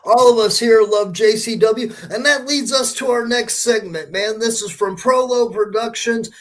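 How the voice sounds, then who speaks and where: A man's voice: very high voice, normal speed. A man hosting a show, casually introducing a segment in slangy talk. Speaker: show host.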